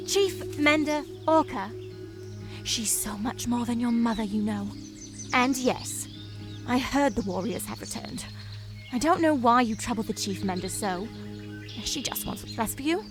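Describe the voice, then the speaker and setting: A woman's voice: fairly high pitch, expressive, measured pace, music in the background. A woman voicing a prim, fussy female rabbit healer in a fantasy game, chatty and lecturing with a condescending manner. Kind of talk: visual novel voice acting.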